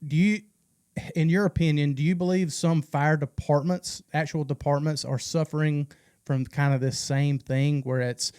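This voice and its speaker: A man's voice: on the high side, fairly even intonation, normal speed, darkish tone, clear, resonant tone. A man co-hosting a podcast, asking long, winding, informal questions. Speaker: podcast co-host. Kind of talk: casual podcast conversation.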